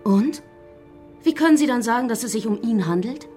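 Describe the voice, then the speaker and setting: Actress as imperious daughter-in-law, high voice, lively melody, accusatory, haughty, radio drama, faint music. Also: female voice, a little fast, natural voice. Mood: indignant. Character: imperious, suspicious daughter-in-law of a murdered man. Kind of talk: German radio crime drama acting.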